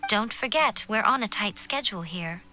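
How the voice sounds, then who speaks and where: A woman acting a poised, sly and haughty mastermind in an English video game dub, speaking in a measured, condescending, formal way. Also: medium pitch, animated, sharp tone, soft background music. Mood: knowing, instructive.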